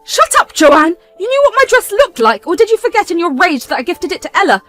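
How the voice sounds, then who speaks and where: A woman voicing an outraged middle-aged mother who cries out in a shrill, loud voice. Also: big pitch swings, a little fast, faint music behind, full character voice.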